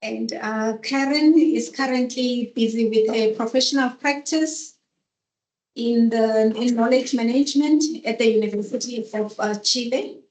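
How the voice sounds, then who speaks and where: A woman's voice: on the high side, unhurried, a little mellow. A woman moderating a seminar, introducing speakers in a hesitant way with many fillers. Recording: echoey conference room.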